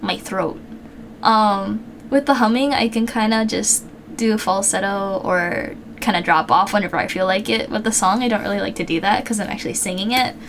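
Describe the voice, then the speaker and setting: A woman live-streaming while she draws. She talks casually with lots of fillers and restarts, and she sounds low on energy with a slightly worn throat. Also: moderate pace, a bit bright, a little hiss or hum. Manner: rambling, chatty, many fillers and restarts.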